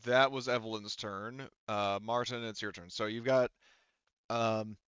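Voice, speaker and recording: A man with a low, clear voice acts as game master for a tabletop roleplaying session. He explains things casually and swears freely. The sound is dry and slightly dull, like a lo-fi microphone. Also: steady tone, a little slow, a bit bright, dry recording.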